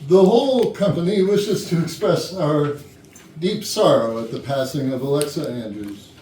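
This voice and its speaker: A woman's voice: low-pitched, animated, normal speed, warm tone. A woman playing a polite, evasive, formal senior company woman in a staged murder mystery comedy. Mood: polite relief. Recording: echoey room, distant mic, faint noise.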